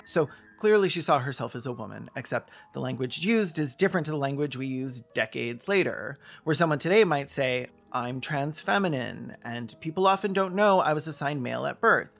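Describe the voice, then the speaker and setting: A man's voice: mid-range voice, animated, normal speed, vocal fry, hardly any pauses, fairly bright tone. A man narrating a scripted, argumentative video essay with emphatic, pointed delivery.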